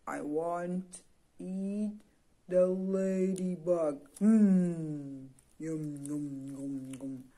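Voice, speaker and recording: An eager little dinosaur puppet played by a woman for a children's show, always hungry. The delivery is slow and repetitive, with childlike excitement and a mellow, lowish tone. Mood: hungry, delighted.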